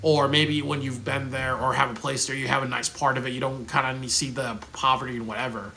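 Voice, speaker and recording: A man's voice: mid-range voice, little pitch movement, a little fast, sharp tone, slight background noise. A man giving a casual, rambling spoken review, full of fillers like "um" and "you know" and frequent restarts. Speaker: video reviewer.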